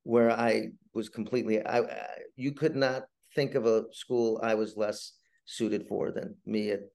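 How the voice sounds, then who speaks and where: An older man telling casual anecdotes as a podcast guest, halting and repeating his words, in a self-deprecating manner. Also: lowish voice, fairly even intonation, gravelly, darkish tone.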